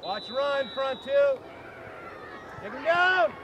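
Male sideline supporter, very high voice, urgent short shouts, lively swings, outdoor field recording with heavy noise. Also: slow, lo-fi sound. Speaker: sideline supporter at a youth flag football game. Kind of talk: shouted sideline encouragement and play calls. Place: outdoor sports field at night. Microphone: camera microphone on the sideline.

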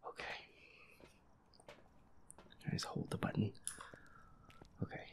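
A gamer with a breathy, whispery voice, nervously muttering to themself while playing through a horror game. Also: slow. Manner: nervous, muttering to self, reluctant. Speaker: solo gamer. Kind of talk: hushed let's-play commentary of a horror game.

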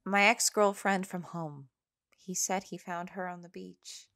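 A woman's voice: on the low side, steady tone, unhurried, a bit bright. An actress playing a wary, insistent woman explorer in an audio drama. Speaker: lead actor in audio drama.

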